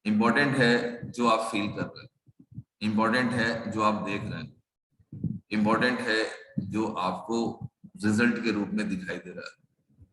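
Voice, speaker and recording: A hoarse man with a lowish voice delivers a rousing motivational pitch to an online meeting. He repeats himself and asks rhetorical questions. His video-call audio sounds echoey, with a faint hiss underneath. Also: a bit bright. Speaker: network marketing leader addressing a meeting.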